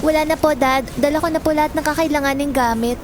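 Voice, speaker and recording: A woman's voice: high-pitched, steady tone, quick pace, noisy background, lightly acted. A woman playing a resentful young daughter in a Filipino audio drama, whiny and grumbling but polite to elders. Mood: reluctant, pleading.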